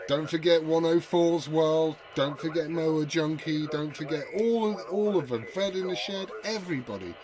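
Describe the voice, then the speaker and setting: A British man with a slightly high voice rambles in a matey, informal way to his viewers. Radio chatter and other voices sit behind him. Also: moderate pace, hardly any pauses, low-quality recording. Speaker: CB radio video host. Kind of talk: casual chat to viewers.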